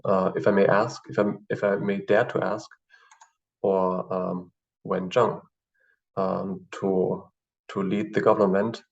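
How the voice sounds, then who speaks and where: A man whose first language is German, teaching in a screen-share tutorial with halting explanations and many fillers. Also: deep voice, gravelly. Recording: distant, dull lo-fi mic.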